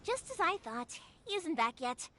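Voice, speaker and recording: A woman voicing a cheerful, chatty, excitable young fireworks maker in an anime-style video game. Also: extremely high pitch, normal speed, a little hiss or hum, full character voice. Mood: friendly, chatty. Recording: music behind the voice.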